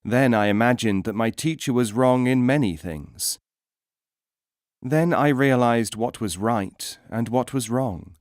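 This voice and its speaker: A man narrates scripted teaching stories in a measured, formal way. His voice sits at a middling male pitch, and the recording is close and very dry, as in an audiobook studio. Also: no echo.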